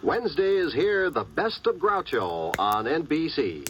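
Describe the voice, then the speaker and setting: A man's voice: a little high, sing-song, measured pace, over music. A man reading 1950s promotional network radio copy in a polished, salesmanlike delivery. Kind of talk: 1950s scripted promotional narration. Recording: vintage radio recording, faint noise.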